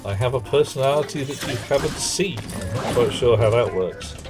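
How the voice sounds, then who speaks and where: A man's voice: mid-range voice, normal speed. A man streaming a game live, chatting wryly with viewers in a dry, muttering way. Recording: game stream with background music.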